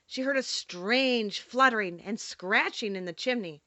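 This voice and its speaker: A woman narrating a children's storybook in an expressive, dramatic storytelling manner. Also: a little high, measured pace, no echo. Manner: animated, storytelling delivery with dramatic emphasis. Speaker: audiobook narrator.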